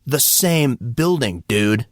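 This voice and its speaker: A man's voice: medium pitch, expressive, slow pace, no room sound, clean studio sound. A man voicing a proud, slangy teenage boy in an audiobook, easily riled and informal in his talk. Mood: indignant.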